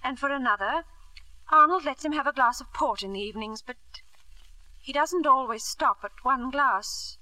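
A woman playing an anxious, gentle middle-aged wife and mother in 1950s radio drama, speaking earnestly and hesitantly. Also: high voice, normal speed, no echo, rough recording. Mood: wistful, worried.

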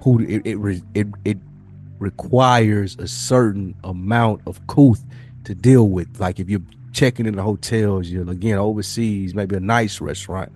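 A man's voice: fairly low pitch, a little slow, darkish tone, faint music behind. A man co-hosting a podcast in casual, slangy talk, repeating phrases to make his point. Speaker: podcast host. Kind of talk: casual chat.

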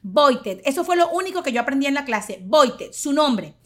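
A woman's voice: sits high, sing-song, normal speed, fairly bright tone. A woman in her forties hosting a podcast, telling stories in a chatty, playful way and laughing often. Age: 40s. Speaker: podcast host. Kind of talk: casual storytelling monologue.

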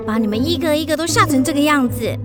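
A woman voicing a brisk, reassuring schoolteacher who coaxes and chides children in a radio drama. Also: high-pitched, over music, close to an everyday voice. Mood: chiding, soothing. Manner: lively, coaxing, chiding speech to children.

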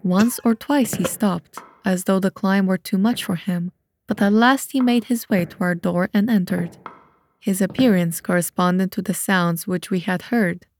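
A woman's voice: mid-range voice, a little slow, darkish tone, a little hiss or hum. A woman reading scripted narration for an audio drama of a classic mystery story.

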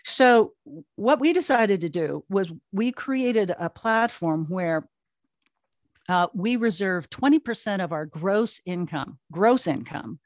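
A woman's voice: lowish voice, dry recording, clean studio sound. A woman speaking as an interview guest, answering conversationally and telling stories with emphatic repetition. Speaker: guest, audio drama platform co-founder.

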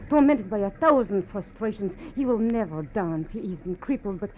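An actress playing an anxious, dramatic prima ballerina in a 1940s radio drama, with breathless, halting, imploring delivery. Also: female voice, mid-range voice, animated, lightly acted. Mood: fretful, dramatic. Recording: tinny, noisy old radio recording.

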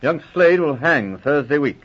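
A man playing a genial county police chief with brisk, hearty officer phrasing, in 1940s radio drama. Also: a little high, lively intonation, breathy edge. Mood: worried, businesslike. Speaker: narrator and police colonel. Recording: muffled old radio recording.

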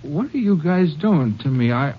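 A man's voice: on the high side, expressive, normal speed, husky. An actor playing a nervous, poor man cornered as a suspect in a radio drama, stammering and protesting. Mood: panicked, protesting. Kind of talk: old-time radio drama acting. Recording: noisy, muffled old radio recording.

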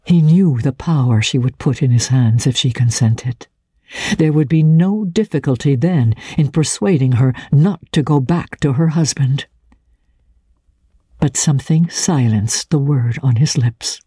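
A woman with a low, husky voice giving a dramatic narration of a classic novel as an audiobook reader. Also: a little slow, dark tone, no room sound, studio quality. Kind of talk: dramatic scripted literary narration.

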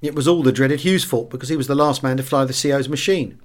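A man's voice: medium pitch, fast, natural voice. A man with a British accent voicing a chatty, slangy RAF fighter pilot in an audiobook memoir. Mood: chatty, bemused. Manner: rambling, breezy wartime slang.

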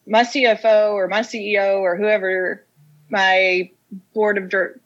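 A woman's voice: medium pitch, level tone, unhurried, a bit bright. A young woman from Kentucky with a Kentucky accent, telling stories casually and plainly as an interview guest, on slightly lo-fi audio. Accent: American, Kentucky. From Casey County, Kentucky, United States. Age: young adult. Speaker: interview guest, whiskey industry professional. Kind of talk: casual conversational storytelling.

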